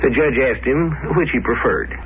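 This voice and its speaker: A man's voice: medium pitch, expressive, normal speed. Man narrating vintage radio, dull sound. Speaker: host and narrator. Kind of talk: scripted 1950s radio narration. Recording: dull, noisy old 1950s radio recording.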